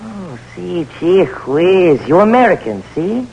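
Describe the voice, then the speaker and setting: Excitable high-voiced Cuban scout. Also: male voice, slow, lo-fi sound, full character voice. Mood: wary, curious. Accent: Cuban Spanish. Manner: exclamatory, broken English peppered with Spanish. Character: excitable, wary Cuban jungle scout with folksy broken English. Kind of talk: old-time radio drama acting. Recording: noisy, humming vintage radio recording.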